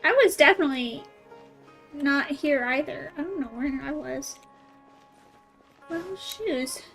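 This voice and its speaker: A woman with a high voice streams a video game over soft background music. Her commentary is casual and chatty, and she playfully reacts aloud as if thinking to herself. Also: unhurried, poor audio.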